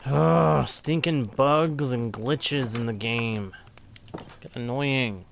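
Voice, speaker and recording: A man's voice: mid-range voice, drawn-out words, gravelly, slight background noise. A man hosting a gaming stream, thinking aloud in a rambling, casual way, repeating himself and using mild folksy exclamations. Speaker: game streamer hosting a let's play.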